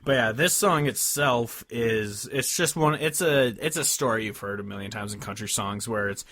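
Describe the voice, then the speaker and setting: Man, lower-pitched voice, podcast host, casual music chat, loose profane phrasing, close dry recording. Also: moderate pace, fairly bright tone, no room sound. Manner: profane, informal.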